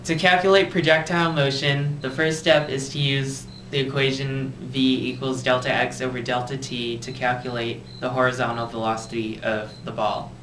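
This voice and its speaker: A man's voice: medium pitch, little pitch movement, normal speed, few pauses, a bit bright. Male physics explainer, scripted delivery, echoey amateur sound with faint noise. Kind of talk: scripted educational narration. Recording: roomy, distant, noisy amateur recording.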